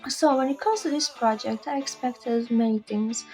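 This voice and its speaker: Woman in her 20s, calm even english, warm mellow voice, bit high, soft music behind. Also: normal speed, rough recording. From Zenica, Bosnia and Herzegovina. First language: Bosnian. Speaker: student training as an English teacher. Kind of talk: reflective video monologue.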